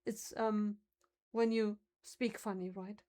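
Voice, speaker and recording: Slow-talking German-accented woman streamer. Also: medium pitch, steady tone, mellow, a little hiss or hum. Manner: chatty, muses over words aloud. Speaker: livestreamer. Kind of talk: casual livestream chat.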